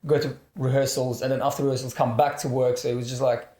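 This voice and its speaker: A man in his thirties talks in a mid-pitched voice, animated and acting out his own thoughts as he goes. He sounds like he's sitting in an echoey room. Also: fast. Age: 30s. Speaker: actor guest. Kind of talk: casual group chat. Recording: roomy echo.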